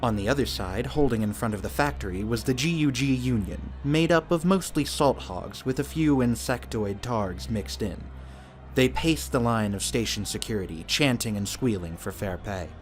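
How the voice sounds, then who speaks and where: A man's voice: medium pitch, expressive, normal speed. A man reading scripted narration for an audio drama. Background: music.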